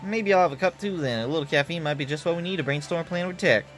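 A man's voice: on the high side, very fast, a bit bright, faint music behind, close to an everyday voice. Man doing an easygoing young swordsman. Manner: easygoing, friendly. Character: cheerful young male swordsman.

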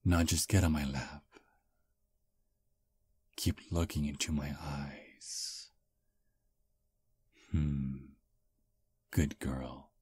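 Seductive vampire played by a man for ASMR roleplay: very deep, slow, murmured whispers. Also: fairly even intonation, slightly rough, full character voice. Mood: hypnotic. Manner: low soft hypnotic murmur, frequent whispers. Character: seductive male vampire, calm and predatory.

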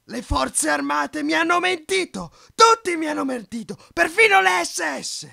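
A man's voice: very high-pitched, expressive, moderate pace, creaky, bright, forceful tone, heavily acted. A man dubbing a paranoid, ranting middle-aged dictator in an amateur film dub. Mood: furious. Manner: ranting, with emphatic repetition.

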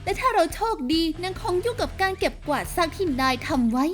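A woman voicing a bossy, sardonic young rebel leader in a video game fan dub, with a brisk, teasing delivery. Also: very high voice, very animated, fairly bright tone, full character voice. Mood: brisk briefing. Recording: music behind the voice.

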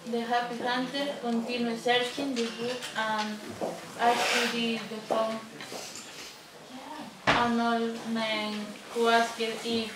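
A young woman reading out scripted narration for a puppet show in a halting way. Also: on the high side, flat delivery. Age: teenager or young adult. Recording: echoey room from far off, loud audience noise.

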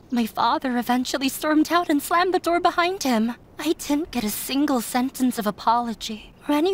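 A woman's voice: high-pitched, a little hiss or hum, natural voice. A woman playing a sorrowful, self-blaming young daughter of a demanding scholar in an anime game, speaking earnestly with resignation. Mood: sad, hopeless.

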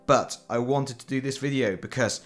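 A man's voice: mid-range voice, normal speed, soft background music. A man with a cold, hosting a casual, chatty board game unboxing and talking over his hands at a table. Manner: chatty and self-deprecating, with fillers. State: has a cold. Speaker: board game channel host. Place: indoors at a table.

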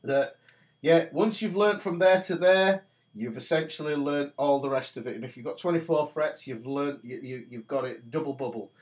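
A man's voice: a little high, normal speed. Stuffy-nosed man teaching guitar at home. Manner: chatty, talks while playing guitar. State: stuffy nose from a cold. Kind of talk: casual video lesson. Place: small home music studio. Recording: guitar notes under the voice. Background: guitar playing.

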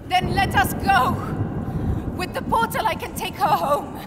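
A woman playing a desperate, tender young mother in a video game drama, speaking in emotional, halting phrases. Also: extremely high pitch, background noise. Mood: desperate, defiant. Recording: loud game music over the voice.

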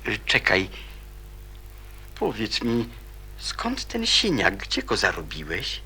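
A considerate, perceptive gentleman in a radio play. His husky voice is lowish and bright, with animated intonation as he politely probes. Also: heavily acted. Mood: probing. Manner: polite, measured, gently questioning. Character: courteous, perceptive adult man, calmly probing and considerate. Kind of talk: Polish radio drama acting.

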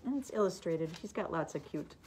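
A woman's voice: on the low side, expressive, brisk, darkish tone, a little hiss or hum. Woman, middle-aged, librarian, book summaries read aloud, slightly muffled masked voice. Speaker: librarian presenting books. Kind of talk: book recommendation, reading from summaries. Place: library room with bookshelves.

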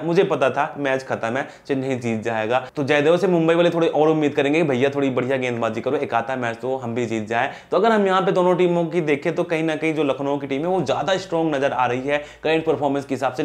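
Young male cricket video host, chatty and direct, lapel mic, small studio. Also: mid-range voice. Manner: chatty, addresses viewers as brothers. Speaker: cricket fantasy team predictor. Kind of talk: casual cricket analysis to camera. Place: small indoor studio, grey backdrop.

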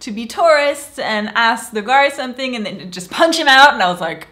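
Young woman reviewer, slightly higher voice, excitable, casually recounts scenes in a small room. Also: moderate pace. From Switzerland. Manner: enthusiastic, playfully acts out quoted lines. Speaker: TV show reviewer.

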